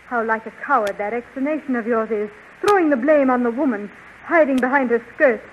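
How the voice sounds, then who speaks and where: A woman's voice: fairly high pitch, a little fast, lightly acted. A woman acting a jealous, proud young heroine in a 1930s radio serial, sarcastic and indignant. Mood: bitter, jealous. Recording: muffled, hissy 1930s radio recording.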